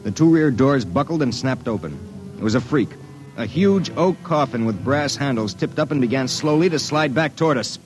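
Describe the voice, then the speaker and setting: A man's voice: medium pitch, expressive, moderate pace, close to an everyday voice. Man playing wisecracking American private eye, old radio drama. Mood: tense storytelling. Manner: slangy, hard-boiled, wry. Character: cocky wisecracking male private detective in his thirties. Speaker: narrator and lead character. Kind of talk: 1950s radio drama acting, first-person narration. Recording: 1950s radio recording with music.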